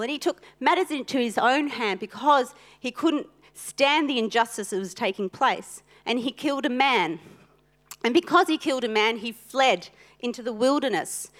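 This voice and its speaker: A woman whose first language is Italian, preaching a sermon with personal stories in an earnest, deliberate way with pauses. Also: high voice, fairly bright tone.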